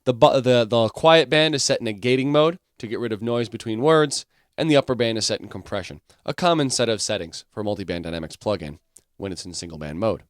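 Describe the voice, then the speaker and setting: A man teaching music production software, explaining each step in an informal way. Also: fairly low pitch, fast talker, dry recording, studio quality.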